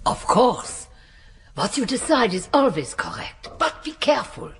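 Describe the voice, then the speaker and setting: An anxious, submissive woman at a villain's side, speaking slowly with very dramatic pitch swings. Her manner is cautious and deferential, in radio-play style. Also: big pitch swings. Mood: wary, deferential.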